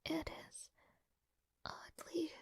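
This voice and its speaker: A woman playing a frail, gentle young princess in an intimate fantasy audio roleplay, speaking in a breathy, hesitant, softly formal way. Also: drawn-out words, dry recording. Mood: weak, grateful, tender. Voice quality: breathy, whispery.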